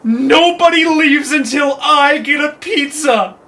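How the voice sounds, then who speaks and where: A man's voice: very high voice, takes it slowly, heavily acted. A man voicing a bossy, hungry, self-important troll in an audio drama. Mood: indignant, demanding. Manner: pompous, demanding. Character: bossy, hungry pizza troll leader, arrogant and picky. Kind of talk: cartoonish audio drama acting. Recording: faint background noise.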